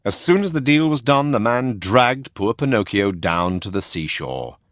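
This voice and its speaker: A man reading a children's story as narrator, in a dramatic, expressive storytelling manner. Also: on the low side, normal speed, no echo, clean studio sound.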